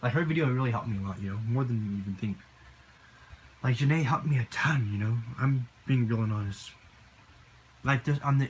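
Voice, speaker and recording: A man with a deep voice at a middle pitch, speaking in a loose, personal vlog monologue. He rambles, circles back on his points and scatters "you know" through nearly every sentence. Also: slight background noise, poor audio. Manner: rambling, with constant "you know" fillers and repeats. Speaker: vlogger.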